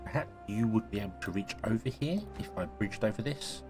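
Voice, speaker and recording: A deep-voiced man talks through a city-building game, rambling and correcting himself as he goes. His voice is a little croaky from a cold, and soft music plays faintly underneath. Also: a little fast. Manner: thinking aloud, rambling, self-correcting. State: croaky, sneezy voice. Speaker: gaming video host. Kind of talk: casual let's-play commentary.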